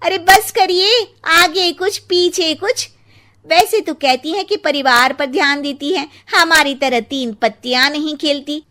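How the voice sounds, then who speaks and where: A woman's voice: high voice, unhurried, pushed and bright. A woman voicing a brash neighbourhood gossip in a cartoon story, with a mocking, confrontational manner. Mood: taunting. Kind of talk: Hindi cartoon story voice acting.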